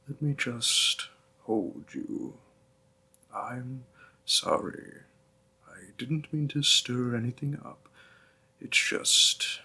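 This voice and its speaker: A man playing a gentle, doting demon boyfriend in an intimate audio roleplay, speaking tenderly in a hushed, husky, creaky voice. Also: medium pitch, full character voice. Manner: slow, hushed, tender, with pauses.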